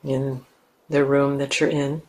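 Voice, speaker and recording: A woman leading a guided meditation as a teacher, speaking gently in simple, unhurried phrases with long pauses. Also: very deep voice, level tone, rough recording.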